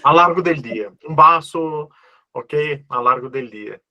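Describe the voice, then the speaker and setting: Un hombre con acento portugués brasileño que da una clase en línea por videollamada, cordial y tranquilizador, que pregunta a menudo "¿ok?". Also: male voice, on the high side, animated, unhurried, highs cut off, crowd noise. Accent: Brazilian Portuguese. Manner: friendly, reassuring, checks in with "ok?". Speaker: online course instructor. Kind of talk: live online class answering questions. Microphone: video call.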